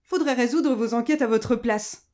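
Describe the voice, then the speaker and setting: A woman's voice: fairly high pitch, normal speed, a little mellow, lightly acted. A woman playing an indignant, haughty businesswoman who answers cuttingly, in a French audio drama. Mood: defiant. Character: defiant, indignant businesswoman under police questioning.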